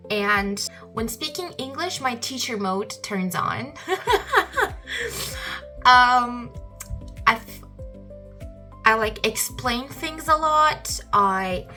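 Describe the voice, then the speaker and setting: A young woman, hosting a solo podcast and talking thoughtfully with frequent pauses. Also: a little high, lively intonation, sharp tone, soft background music. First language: Turkish. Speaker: podcast host.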